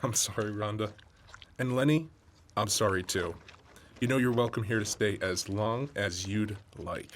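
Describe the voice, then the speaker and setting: Actor as tired husband, lowish voice, matter-of-fact, grumbling, gruffly kind, faint noise. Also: male voice, normal speed, natural voice. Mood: apologetic. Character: weary, plainspoken husband, gruffly kind rural rescuer. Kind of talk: mock documentary interview.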